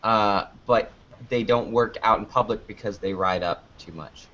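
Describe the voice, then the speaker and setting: A man with a fairly low voice talks as an interview guest, rambling and joking at his own expense. The delivery is casual and unscripted. The sound is somewhat dull and lo-fi. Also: moderate pace, sharp tone. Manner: rambling, self-deprecating, jokey. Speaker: interview guest, online video maker.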